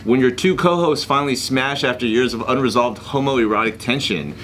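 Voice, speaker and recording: A man's voice: medium pitch, fairly quick, fairly bright tone, slight background noise. A man co-hosting a show with casual banter and mock-dramatic line readings. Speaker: show co-host.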